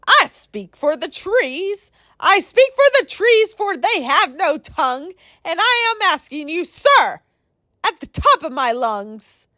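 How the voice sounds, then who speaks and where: Storybook role: small old male woodland creature, indignant, bossy, sharp-edged, unhurried delivery female reader. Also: very high voice, very animated, no echo, exaggerated voice. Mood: indignant, pleading. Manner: bossy, scolding. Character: short oldish mossy forest creature, bossy and indignant, male.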